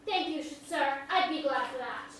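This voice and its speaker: Really high voiced little country girl, earnest and fierce, far off in an echoey hall. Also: normal speed, fairly bright tone. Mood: earnest, polite. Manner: rustic dialect, polite. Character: fierce, earnest young country girl pleading for her father. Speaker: actor in a staged reading. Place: large echoey room at a live reading. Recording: echoey hall, distant mic, faint noise.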